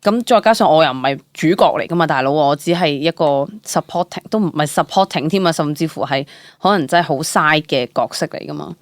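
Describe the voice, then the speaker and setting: A young woman with a Hong Kong Cantonese accent shares stories as an actor being interviewed. Her voice sits low for a woman, and her telling is chatty and expressive, sprinkled with English words. The sound is close and dry. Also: fairly quick, no room sound. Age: young adult. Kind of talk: casual interview storytelling.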